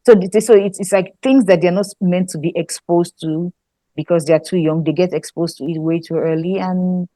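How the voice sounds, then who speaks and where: Nigerian woman, lower register, dry close mic; reflective guest, laughs easily, restarts often. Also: fairly even intonation, normal speed, warm tone, no echo. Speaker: guest scriptwriter. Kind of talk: casual interview chat.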